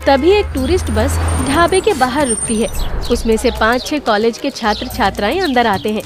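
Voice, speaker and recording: A woman's voice: on the high side, expressive, moderate pace, noisy background. A woman reading scripted narration for a cartoon story. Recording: music under the voice.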